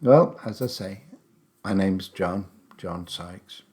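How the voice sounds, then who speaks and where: Slow, low-voiced man in his seventies. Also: a little mellow. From England. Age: 70s. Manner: chatty, rambling, wry asides. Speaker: podcast host, English teacher. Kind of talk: casual personal monologue.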